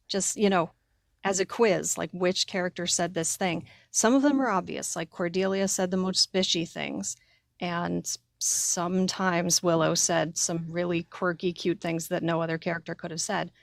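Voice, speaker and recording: A woman's voice: on the low side, gravelly, no echo, clean studio sound. A woman co-hosting a casual livestream conversation, chatting informally and giving her opinions. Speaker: co-host.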